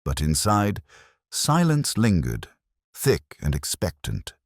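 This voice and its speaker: An extremely low-pitched man narrates a mystery audiobook. His delivery is measured and dramatic, with deliberate phrasing and a lively rise and fall. The sound is close and dry. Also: no echo. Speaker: story narrator.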